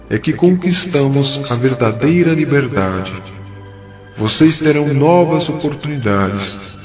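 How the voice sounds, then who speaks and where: A man playing a kindly spirit mentor in an audio drama, preaching in a measured, earnest and solemn way. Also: mid-range voice, natural voice. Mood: tender, reassuring. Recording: music behind the voice. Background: music.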